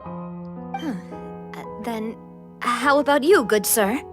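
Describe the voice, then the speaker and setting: A woman voicing a bubbly young leader in a game, playful and quick to laugh. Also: fairly high pitch, over music. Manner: lively, playful, laughs often.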